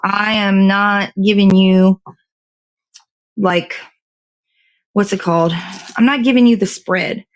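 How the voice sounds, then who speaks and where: A woman's voice: medium pitch, fairly even intonation, takes it slowly, long pauses, rough recording. A woman hosting a morning show in a casual, rambling, chatty way, thinking aloud as she works with her hands. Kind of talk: casual live chat while assembling a dessert.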